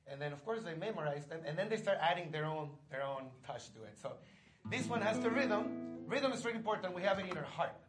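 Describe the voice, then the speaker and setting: High-voiced man leading a sing-along. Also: expressive, a little fast, over loud music, poor audio. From northern Chile. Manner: gentle, encouraging, loosely worded explanations. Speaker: community music leader and bandleader.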